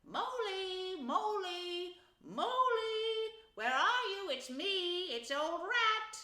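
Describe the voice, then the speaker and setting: Woman playing a hearty, bright, high-voiced brave rat. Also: expressive, moderate pace, roomy sound. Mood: calling out. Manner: cheery, emphatic, reassuring. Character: brave, good-natured male water rat, hearty and protective.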